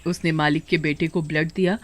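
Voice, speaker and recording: A woman's voice: deep voice, little pitch movement, a little fast. A woman narrator reading a scripted moral tale as storytelling.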